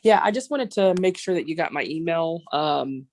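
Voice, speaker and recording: A woman's voice: low-pitched, expressive, moderate pace, a little mellow, no room sound. A woman attorney speaking in a tactful, businesslike way on a virtual meeting.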